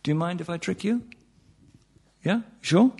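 Gravelly man teaching meditation. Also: high voice, measured pace, darkish tone. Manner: conversational, joking, checks in with "yeah?" and "you know". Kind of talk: informal spiritual talk to a group.

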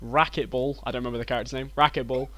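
A man with a deep voice, a player in a tabletop game, chatting casually and cracking jokes. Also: fairly even intonation, racing, fairly bright tone. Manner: jokey, teasing. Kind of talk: casual chat.

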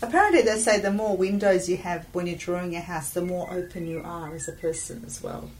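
Low-voiced woman talking slowly about her dream house, mic a bit far off, faint hiss. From Australia. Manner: reflective, halting, laughs often. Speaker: business owner talking about her drawing. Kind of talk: casual chat. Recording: distant mic with faint background noise.

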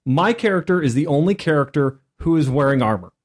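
A man with a somewhat higher-pitched voice chats casually about tabletop gaming. He speaks as a co-host and player in relaxed, conversational talk. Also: brisk.

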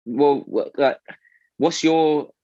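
A man with a South London accent chatting casually about his radio show, animated and full of fillers and restarts. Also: a little high, slow pace, highs cut off. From South London, England. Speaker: radio presenter, guest. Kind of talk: casual interview chat.

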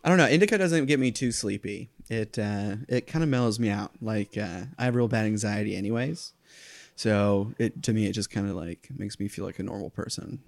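Male comic guest from Florida, low sleepy voice, rambly and giggly. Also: a little fast, creaky, darkish tone. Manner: rambling, filler-heavy, giggly. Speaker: stand-up comedian guest. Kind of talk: casual chat.

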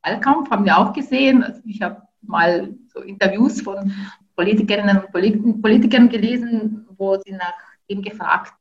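A woman's voice: medium pitch, normal speed, highs cut off. Ukrainian-born woman researcher, thoughtful. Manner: thoughtful, many fillers and restarts. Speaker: linguistics researcher. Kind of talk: interview answers.

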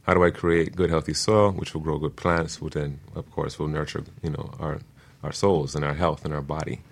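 A man with a low-pitched voice talks as a guest expert. He explains in a conversational, informal style. His delivery is measured, with passion for what he teaches. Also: flat delivery, vocal fry, husky.

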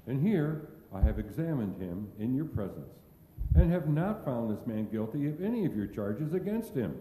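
A man's voice: mid-range voice, mellow. A man reading a stern Roman governor in a formal, declamatory church dramatic reading. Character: stern male Roman governor delivering judgement. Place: large reverberant church. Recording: echoey church hall, distant.

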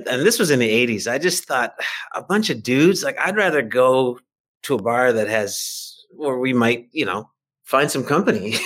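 Mid-pitched mature man, casually telling stories. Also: a bit bright. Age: middle-aged or older. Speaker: podcast guest, fiction writer. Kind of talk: casual anecdotal chat.

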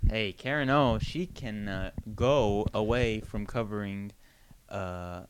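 A man's voice: on the low side, slow, noisy background. A man co-hosting a comedy podcast, bantering wryly in casual improvised chat.

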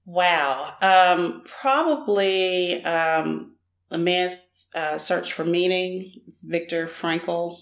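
A woman's voice: lowish voice, extremely slow. A middle-aged woman from Nashville, a podcast guest, answering in a candid, casual way with many fillers. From Nashville, Tennessee. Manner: frequent fillers, thoughtful and candid. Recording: roomy echo.

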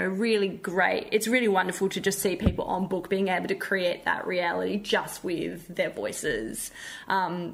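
A woman's voice: medium pitch, vocal fry. A woman who works as a theatre director, talking conversationally and thoughtfully as an interview guest.

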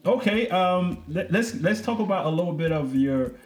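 A man hosting a casual interview, relaxed and conversational, with frequent hesitations. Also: high voice, normal speed, faint music behind. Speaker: interview host.

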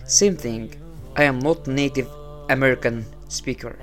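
Egyptian male podcast host, English, unhurried motivational talk, budget mic. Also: medium pitch, fairly bright tone, faint music behind. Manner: unhurried, repeats phrases, non-native English. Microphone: small low-cost podcast setup.

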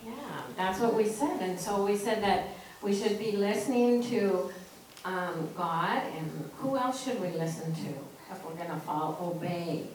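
A woman's voice: mid-range voice, unhurried, darkish tone. A woman leading a children's message at church, talking informally in simple teacherly phrases and asking questions. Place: large echoey indoor church. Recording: roomy, distant church recording with faint noise.

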